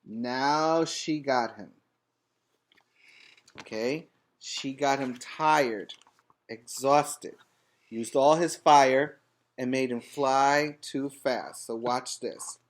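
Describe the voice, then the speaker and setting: A man's voice: mid-range voice, very slow. A man reading a storybook aloud as a preschool teacher, with simple, instructive asides to the children.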